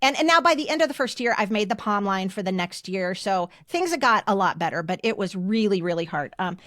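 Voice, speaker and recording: A woman's voice: mid-range voice, animated, fairly quick, fairly bright tone, no room sound, studio quality. A woman in her fifties with an American accent, telling personal stories casually and emphatically as a podcast co-host. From United States. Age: 50s.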